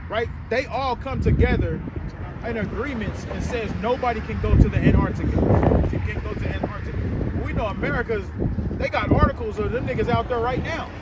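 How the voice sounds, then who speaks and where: A man with a strikingly high voice leads a street Bible class, talking in an emphatic, colloquial way. Loud wind noise batters the microphone outdoors. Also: brisk. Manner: emphatic, colloquial, keeps prompting the reader with "right, go ahead". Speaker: street teacher leading a scripture class. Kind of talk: loose spoken Bible teaching.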